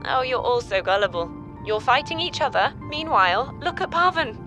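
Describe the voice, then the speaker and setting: An actress playing an amused, mocking scientist in a game audio log, teasing and aloof. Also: female voice, high-pitched, very animated, normal speed. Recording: music under the voice.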